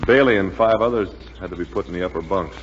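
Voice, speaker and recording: A plain-spoken, sceptical airman, acted by a man with a deep voice. It is 1940s radio acting on a dull, echoing old recording. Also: fast, slight background noise, close to an everyday voice. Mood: wry, practical.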